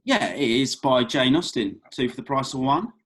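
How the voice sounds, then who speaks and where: A man's voice: medium pitch, animated, moderate pace, a bit bright. A man playing an awkward, eager young man in a script read, hesitant and conversational.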